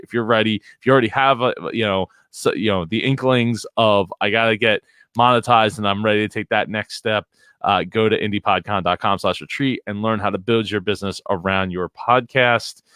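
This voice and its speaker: Podcast host guy, lowish but really bright crisp voice, rambles a lot, plenty of uhs. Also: no echo, clean studio sound. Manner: rambling, playful, many fillers. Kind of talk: live show host chat.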